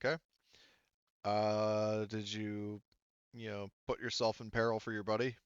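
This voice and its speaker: A man's voice: on the low side, monotone, unhurried, clear, resonant tone, dry recording, muffled recording. A man acting as game master in a casual tabletop game debrief, talking loosely and swearing freely.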